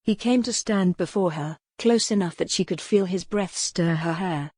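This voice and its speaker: A woman's voice: lowish voice, moderate pace, darkish tone, slightly rough, no room sound. A woman reading scripted historical romance narration as an audiobook narrator.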